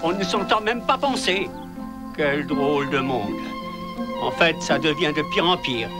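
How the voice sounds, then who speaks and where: An actor voicing a cranky, stubborn, self-righteous prophet in a cartoon, grumbling and protesting. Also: male voice, a little high, lively intonation, measured pace. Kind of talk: animated cartoon dubbing. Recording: loud music behind the voice.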